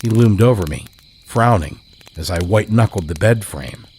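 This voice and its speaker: A man narrating a horror story in a measured, deliberate way as a podcast host. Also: on the low side, expressive, a little mellow, breathy edge.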